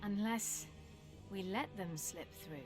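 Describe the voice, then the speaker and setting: A woman's voice: medium pitch, slow, close to an everyday voice. Woman playing a world-weary female assassin. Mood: defiant. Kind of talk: video game voice acting. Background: game music.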